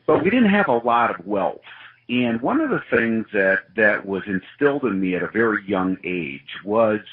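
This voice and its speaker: A man's voice: lowish voice, breathy edge. A man who grew up in Wisconsin, a guest in an interview, telling his life story in an earnest, reflective way. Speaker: guest, organization CEO. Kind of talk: personal testimony in an interview. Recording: muffled lo-fi sound.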